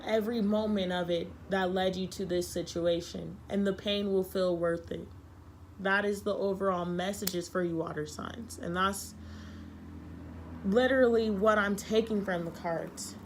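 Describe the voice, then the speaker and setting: Young female tarot reader, slightly hoarse, earnest and emphatic, light background hiss. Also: mid-range voice. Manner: earnest, emphatic, repeats herself. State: losing her voice, a little sick. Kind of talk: tarot reading monologue.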